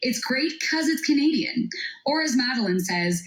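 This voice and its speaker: A woman delivers a scripted radio commercial as a company spokesperson. Her voice is somewhat high, and the recording is noticeably roomy and echoing. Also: expressive, normal speed.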